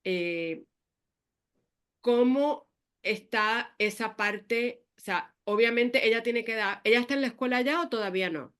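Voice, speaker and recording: A woman's voice: a little high, a little slow, fairly bright tone, clean tone. A woman in her fifties hosting a podcast, who speaks thoughtfully with many hesitations and slips English fillers into her Spanish. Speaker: podcast host. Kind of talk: casual conversational interview.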